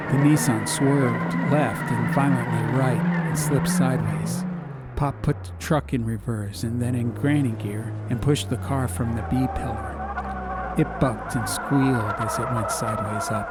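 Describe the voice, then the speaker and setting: A man narrating a scripted story as an audiobook, with ambient noise behind his voice. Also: medium pitch. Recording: background ambience noise under voice.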